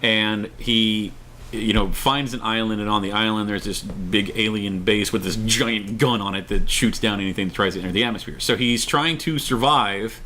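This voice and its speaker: A man's voice: fairly low pitch, steady tone, moderate pace, sharp tone. A middle-aged man co-hosting a casual opinion show, talking emphatically and repeating himself for stress. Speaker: co-host of a show. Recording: clear background noise, room ambience.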